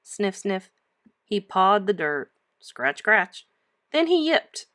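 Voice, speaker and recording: A woman reads a children's storybook aloud in a teacherly way. Her mid-pitched voice is highly expressive and emphatic, moving up and down a lot, and the sound is dry and close. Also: slow, no room sound.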